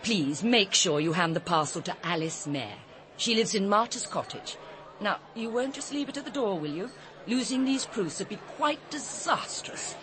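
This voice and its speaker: An actress playing a brisk, teasing, insistent woman writer, in a radio drama. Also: medium pitch, animated, background noise, natural voice. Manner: gushing, insistent, arch. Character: brisk, bossy, teasing female cookery writer. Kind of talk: British radio drama dialogue. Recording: busy party crowd behind. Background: party chatter.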